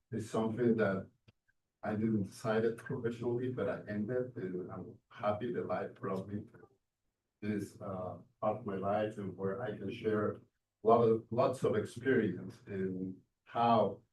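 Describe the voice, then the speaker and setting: A man's voice: on the low side, level tone, a little mellow. Man in his sixties with a Mexican accent. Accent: Mexican Spanish. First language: Spanish. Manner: halting, with many fillers and restarts. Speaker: transit industry speaker. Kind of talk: conference talk. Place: event room. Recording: roomy, distant mic with faint noise.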